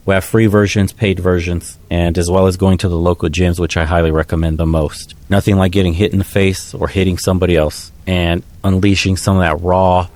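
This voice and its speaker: A man's voice: deep voice, a little mellow. A man giving blunt, casual reaction commentary, reading viewer comments and advising his audience. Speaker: online commentator.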